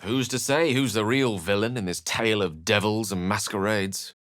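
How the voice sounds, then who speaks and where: Casting: man to play a learned, wry game wizard with a low register and articulate, theatrical delivery, recorded dry. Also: moderate pace, a bit bright, no echo, natural voice. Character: eloquent, scholarly male wizard with a wry temper. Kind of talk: video game voice acting, dramatic narration and dialogue.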